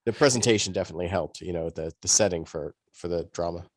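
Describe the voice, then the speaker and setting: A man's voice: sits low, fast talker, dry recording. A man speaking as a podcast panelist, explaining things in a rambling, conversational way. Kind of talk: conversational discussion.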